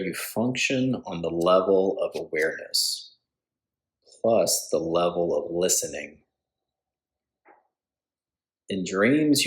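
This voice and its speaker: Slow, low-voiced man guiding meditation. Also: creaky, poor audio. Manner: calm, deliberate, instructional phrasing with pauses. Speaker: yoga nidra instructor. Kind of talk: guided meditation.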